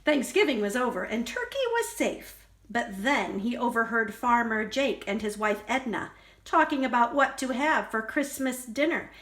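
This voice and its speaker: High, animated woman reading to children. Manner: deliberate, expressive, emphatic. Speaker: librarian storyteller.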